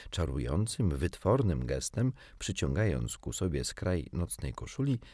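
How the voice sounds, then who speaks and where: A male audiobook narrator with a low voice, telling a children's story expressively. His intonation ranges very widely, and the recording is close and dry. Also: sing-song, measured pace, warm tone, no echo.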